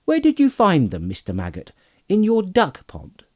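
A man's voice: high-pitched, wildly swooping pitch, pitched above the performer's own voice, full character voice. A man voicing a cheerful young male hobbit, chatty and teasing, in a storybook reading. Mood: teasing relief. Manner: chatty, teasing, bright and brisk. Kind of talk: audiobook character voice.

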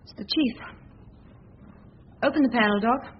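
A woman's voice: fairly high pitch, unhurried, close to an everyday voice. A woman playing a cool, efficient criminal go-between who gives orders in a 1940s radio crime drama. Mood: businesslike. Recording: dull, very noisy vintage radio recording.

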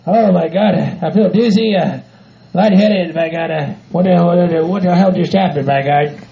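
A woman's voice: fairly low pitch, moderate pace, vocal fry. A woman voicing a short-tempered rancher husband who curses and sounds groggy and dazed. The recording sounds dull and lo-fi. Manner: groggy, cursing, slurring. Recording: dull lo-fi audio.

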